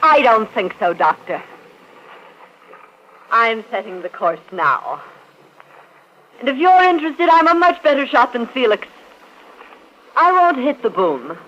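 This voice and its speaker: An actress playing a greedy, cold-blooded young schemer in a mid-century radio drama, with a sharp, scornful manner. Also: female voice, fairly high pitch, sing-song, a little slow, long pauses. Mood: cool, menacing. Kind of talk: 1940s-50s radio acting. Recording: muffled, noisy old radio recording.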